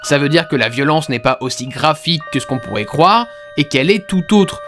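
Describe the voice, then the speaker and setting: A man's voice: fairly high pitch, animated, a little fast, a bit bright. A man giving casual, slangy horror commentary as a YouTube host, talking conversationally. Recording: music behind the voice. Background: music.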